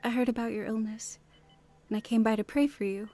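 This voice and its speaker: Actress as earnest devout wife, higher voice. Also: female voice, steady tone, a little fast, mellow, close to an everyday voice. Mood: gentle, assured. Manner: earnest, heartfelt. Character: earnest, devout wife telling her testimony. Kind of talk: radio drama acting and narration.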